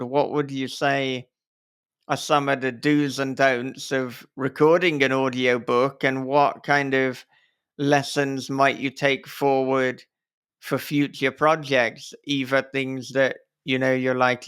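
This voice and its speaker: Male podcast interviewer, unhurried and clear-voiced, conversational, hesitant phrasing. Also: medium pitch, fairly bright tone. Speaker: podcast host and author interviewing a guest.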